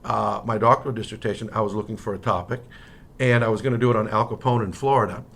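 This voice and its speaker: A middle-aged man from Miami with an American accent, telling anecdotes as an interview guest in a halting, conversational way that often circles back to rephrase. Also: lowish voice, fairly even intonation, vocal fry, fairly bright tone. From Miami, United States. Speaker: interview guest, history professor. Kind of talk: casual interview, anecdotal storytelling. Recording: noticeable background noise.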